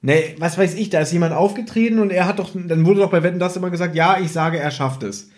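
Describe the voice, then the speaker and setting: Guy cohosting a podcast, voice a bit high, joking around and telling stories casually. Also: fast talker, darkish tone. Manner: jokey, playful storytelling. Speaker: podcast co-host. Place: living room of a flat. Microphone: one microphone shared by two people.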